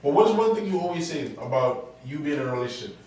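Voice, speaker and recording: A man's voice: on the high side, lively intonation, fast, a little mellow, faint music behind. A man hosting a casual group conversation, joking and teasing the others as he leads the questions. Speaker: podcast host. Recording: echoey room, mic far off.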